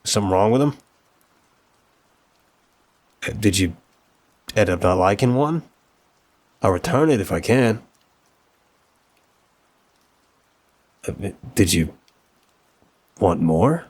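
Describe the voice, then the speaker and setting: A man's voice: fairly low pitch, animated, moderate pace, long pauses, a little mellow. A man playing an affectionate, apologetic young mafia boss boyfriend, speaking earnestly and politely with hesitant stammers in an intimate roleplay audio drama. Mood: concerned, puzzled.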